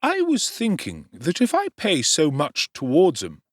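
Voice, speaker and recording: A man voicing a fawning, sly middle-aged undertaker in a dramatic audiobook, with a high, drawn-out wheedling way of agreeing. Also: wildly swooping pitch, no room sound, clean studio sound, full character voice.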